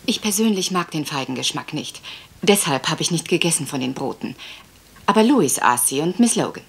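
A woman's voice: low-pitched, lively intonation, fairly quick, gravelly. A woman playing a troubled, wistful lady of a manor house in a radio crime drama, polite and hesitant. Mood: anxious, polite.

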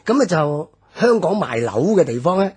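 A man's voice: fairly high pitch, sing-song, normal speed, a little mellow, husky. A man in his sixties from Hong Kong, with a Hong Kong Cantonese accent, explaining history on a radio talk show and padding his sentences with fillers. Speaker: guest commentator.

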